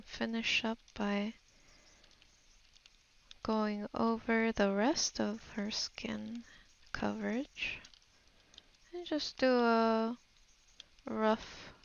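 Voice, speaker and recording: A woman narrates a digital art tutorial in an unscripted voice-over. Her delivery is slow and hesitant, with a creaky, fried edge to the voice. She muses to herself as she works through each step. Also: fairly high pitch, fairly even intonation. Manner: hesitant, musing, thinking aloud. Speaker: digital artist giving a tutorial. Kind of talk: unscripted voice-over of a painting process.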